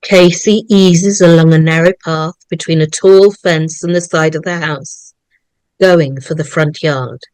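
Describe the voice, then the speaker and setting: Lady reading horror screenplay stage directions, slow and dramatic, no room echo. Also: deep voice, fairly even intonation, a little mellow, smooth. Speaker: narrator.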